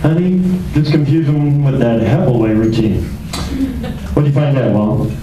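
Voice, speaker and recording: A man's voice: medium pitch, unhurried, close to an everyday voice. Man acting as a wry private eye, very echoey. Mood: probing. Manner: flippant, wry, casual. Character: wisecracking male private detective, breezy ex-cop. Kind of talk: old-time radio detective drama, acting and narrating. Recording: roomy, distant microphone, noticeable background noise.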